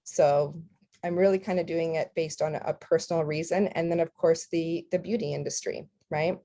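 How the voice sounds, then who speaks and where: Canadian-raised woman, Ukrainian first language, earnest founder pitch, warm low tone. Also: steady tone, moderate pace, slight background noise. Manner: heartfelt, earnest, fillers. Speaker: skincare company founder. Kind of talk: personal talk at an online orientation.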